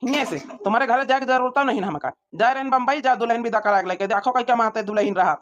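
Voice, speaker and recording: A woman's voice: on the high side, fast, a little hiss or hum, lightly acted. A woman voicing a stubborn, demanding young village bridegroom in a comedy cartoon, sulky and insistent, on lo-fi audio. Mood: sulky, defiant. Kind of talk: amateur comedy cartoon dialogue.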